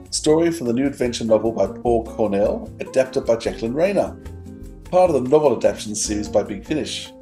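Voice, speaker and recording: A man co-hosting a fan podcast, presenting facts and chatting. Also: mid-range voice. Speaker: podcast co-host. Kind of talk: trivia read-out and fan chat.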